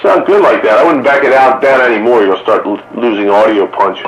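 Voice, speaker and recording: A man's voice: mid-range voice, brisk, low-quality recording. A man talking casually as a radio hobbyist on a CB net. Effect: CB radio transmission. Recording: light CB radio background hiss.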